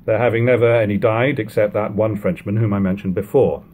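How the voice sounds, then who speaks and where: A man in his thirties reading a classic novel aloud at home, in a measured, steady way, letting sentence ends fall quiet. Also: fairly low pitch. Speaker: audiobook reader. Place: living room at home.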